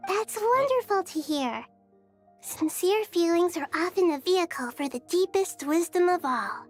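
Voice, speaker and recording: A woman voicing a gentle, thoughtful, childlike girl in a video game, serene and wise, with measured phrasing. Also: extremely high pitch, animated, faint music behind. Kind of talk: video game character acting.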